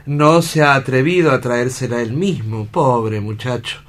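An actor playing a kindly doctor, courteous and solicitous, in a radio drama reading. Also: male voice, medium pitch, a little slow, close to an everyday voice. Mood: concerned. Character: kindly, attentive doctor checking on his patient.

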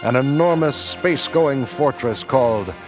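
A man narrating a radio drama in a grave, measured storytelling voice. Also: mid-range voice, lively intonation. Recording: narration over music score.

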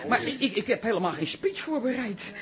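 A woman's voice: on the high side, very animated, a little fast, a crowd in the background. An actress playing a proud, flustered older Dutch toilet lady in a radio drama, stammering and self-important. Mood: flustered, grateful. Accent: Dutch, from the Netherlands.

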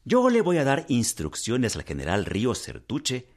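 An actor with a Mexican accent playing a scheming, cold-blooded general giving calculated, menacing orders in a radio drama. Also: male voice, mid-range voice, huge pitch swings, unhurried, full character voice. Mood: sly, commanding.

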